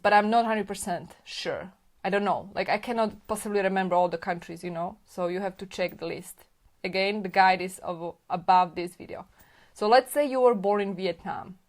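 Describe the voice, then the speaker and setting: A woman hosting a live stream, answering viewer questions off the cuff at a mid-range pitch. She keeps it informal and chatty, full of fillers, and corrects herself as she goes.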